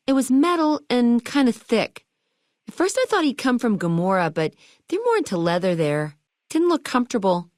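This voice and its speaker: A woman's voice: medium pitch, no room sound, clean studio sound. A woman playing a perky, eager and quirky hotel keeper in a video game, chatty and full of chirpy salesmanship and playful old-fashioned slang. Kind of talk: video game dialogue lines.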